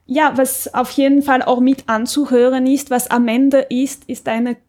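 A woman's voice: sits high, level tone, a little slow, a little mellow. A woman, giving a casual review as a panelist on a podcast.

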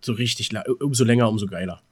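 A man's voice: lowish voice, fast, slightly rough. A man chatting casually as co-host of a podcast. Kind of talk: casual chat.

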